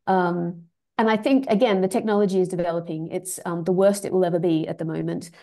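A woman's voice: lowish voice, steady tone, a little fast, warm tone. A woman giving a webinar presentation over a video call, talking with many ums and restarts. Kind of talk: academic webinar talk.

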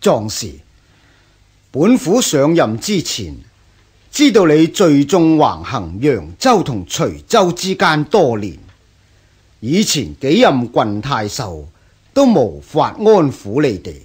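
Slow, husky scholar-official. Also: male voice, mid-range voice, wildly swooping pitch, a little mellow, heavily acted. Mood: earnest. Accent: Hong Kong Cantonese. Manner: measured, sighing, earnest. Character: patient, principled male scholar-official, a prefect. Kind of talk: radio drama acting.

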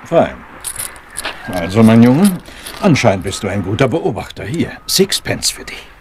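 Brusque police inspector, lively intonation. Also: male voice, fairly low pitch, unhurried, a little hiss or hum. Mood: stern, gruff. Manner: blunt, official, dry. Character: brusque, businesslike middle-aged male police chief inspector. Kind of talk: German retro crime radio drama.

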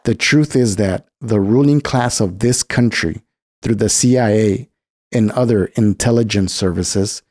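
A man narrating a scripted political essay in a deliberate, emphatic way. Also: lowish voice, a little mellow. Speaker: program narrator.

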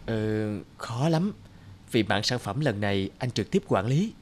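A man voicing a polite, hesitant married businessman torn by guilt in an animated moral drama. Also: on the low side, a little slow. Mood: uneasy. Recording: music under the dialogue.

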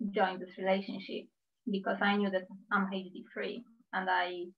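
A woman in her thirties from Poland with a Polish accent, speaking carefully and warmly about her own life in a talk given over a video call. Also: mid-range voice. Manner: careful, measured, heartfelt. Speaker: invited speaker. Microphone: computer mic on a video call. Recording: slightly dull video-call audio.

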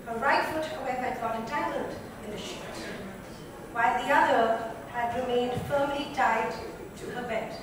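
High-pitched woman reading in echoey room. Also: normal speed, crowd noise. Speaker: reader in a dramatic book reading. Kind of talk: literary narration read aloud. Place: club lounge, indoors. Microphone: far microphone. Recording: distant mic, very echoey noisy room.

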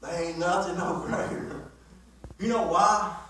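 High, bright-voiced preacher in his fifties. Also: fairly even intonation, a little slow, slight background noise. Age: 50s. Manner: heavy "uh" fillers, repeated refrains, plain folksy grammar. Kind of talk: sermon. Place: church sanctuary, mid-sized indoor room. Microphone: phone camera from the pews, far from speaker. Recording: echoey church heard from far off.

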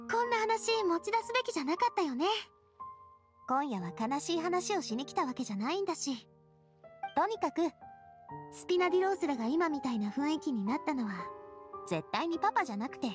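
A spirited young lady from an anime game, with a high voice. She talks casually and expressively, breezy yet earnest and kindhearted. Music runs behind her. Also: fairly quick, pauses often. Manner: casual, feminine, expressive storytelling. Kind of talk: Japanese anime game voice acting.

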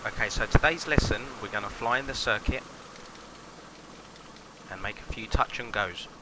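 A man's voice: on the low side, moderate pace. A man speaking as a flight instructor and pilot in a flight-simulator lesson, making radio calls. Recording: engine noise behind the voice. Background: aircraft engine drone from simulator.

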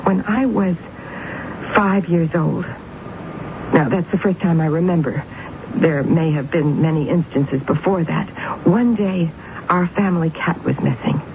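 A woman's voice: fairly low pitch, a little slow, gravelly. Eerie young woman, solemn, certain, dramatic 1970s radio delivery, dull noisy broadcast sound. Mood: intense. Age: 20s. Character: intense, eerily certain young woman with visions of death.

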